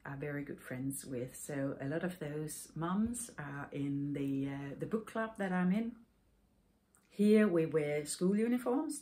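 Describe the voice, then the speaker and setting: Danish woman in her forties, low voice, slow and searching delivery, podcast host talking to a webcam at home. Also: warm tone. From Denmark. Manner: thoughtful, halting, with many fillers and restarts. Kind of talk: casual personal storytelling. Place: home room with yarn shelves, indoors.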